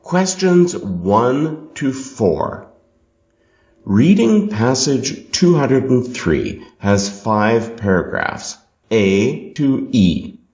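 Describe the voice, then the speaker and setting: In a dull, echoey room, a man reads exam material from a script. His delivery is slow and deliberate, and his intonation rises and falls noticeably as he sets out each instruction. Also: lowish voice, darkish tone. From Canada. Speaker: exam passage reader. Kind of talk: scripted reading of test instructions and questions.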